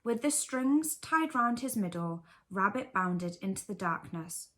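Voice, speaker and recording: A woman's voice: mid-range voice, expressive, measured pace, a bit bright. Casting: woman storyteller with a mid-range voice for reading children's bedtime stories. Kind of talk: scripted children's story narration.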